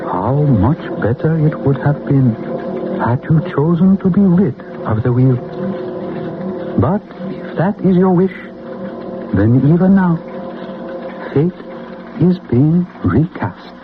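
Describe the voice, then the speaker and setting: A man's voice: fairly high pitch, animated, takes it slowly, pauses often, noisy background. A man playing a solemn, all-knowing mystical arbiter of fate in a theatrical radio drama. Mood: solemn, portentous. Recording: muffled old radio broadcast, music behind.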